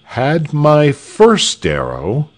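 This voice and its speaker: A man's voice: mid-range voice, very animated, slow pace, voice pushed up, heavily acted. A man voicing a proud, defiant archer in a storybook reading, with formal, declamatory speech. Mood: steady, defiant. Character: proud, defiant male archer facing a tyrant.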